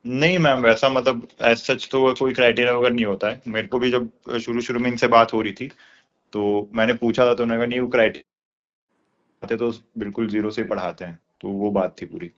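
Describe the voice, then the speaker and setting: A man's voice: medium pitch, steady tone, brisk, a bit bright. A young man explaining things colloquially in a casual phone conversation, with many filler words. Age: young adult. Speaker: course student being interviewed. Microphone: phone call. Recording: dull lo-fi phone call recording.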